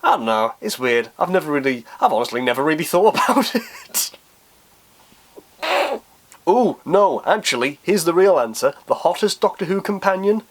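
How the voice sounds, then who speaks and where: A man's voice: on the high side, very animated, moderate pace. A man talking casually in a Q&A vlog, informal and self-deprecating, thinking aloud as he answers. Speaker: YouTube creator answering viewer questions.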